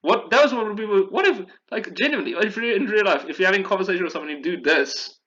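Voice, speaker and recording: A man's voice: high voice, animated, quick pace, sharp tone, lo-fi sound. A man chatting casually as a podcast host, rambling and correcting himself as he talks. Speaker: podcast host discussing video games. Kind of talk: casual chat.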